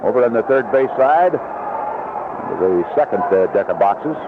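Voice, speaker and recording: Vintage radio baseball caller: man, animated intonation, descriptive clipped calls, clearly noisy background. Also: medium pitch, a little slow. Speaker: baseball play-by-play announcer. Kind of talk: live radio sports commentary. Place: broadcast position at an outdoor ballpark. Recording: narrow-band vintage radio broadcast, noisy.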